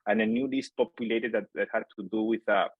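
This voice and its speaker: Halting Chilean-born man, low voice. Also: steady tone, slightly rough, no echo, phone-quality sound. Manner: halting, many fillers and repeated words. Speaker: company founder, guest. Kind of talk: casual interview storytelling.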